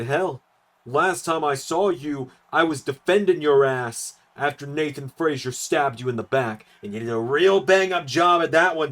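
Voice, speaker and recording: A man plays an earnest pro wrestler in a game storyline. He sounds solemn and proud, pressing his case with insistence at an ordinary male pitch. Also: fairly bright tone, natural voice.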